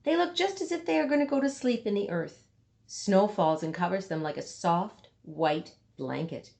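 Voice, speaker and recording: A woman reading a children's picture book aloud, with dramatic emphasis and deliberate pauses for young listeners. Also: medium pitch, lively intonation, gravelly, darkish tone. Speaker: storybook reader.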